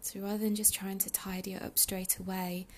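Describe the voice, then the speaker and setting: A woman leading a guided meditation in a soothing, unhurried, breathy soft delivery with pauses. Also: mid-range voice, level tone. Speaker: meditation guide, yoga teacher.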